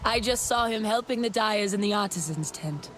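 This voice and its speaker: A woman playing a serene, solemn alien clan guide in a video game, measured and ceremonious in speech. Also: mid-range voice, fairly bright tone, faint music behind, close to an everyday voice. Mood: solemn, welcoming. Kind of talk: video game dialogue acting.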